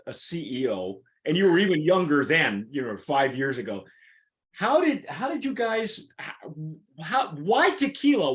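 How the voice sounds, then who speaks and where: A man hosting a casual interview, rambling with many restarts and fillers. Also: high-pitched. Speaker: host. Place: Southern California.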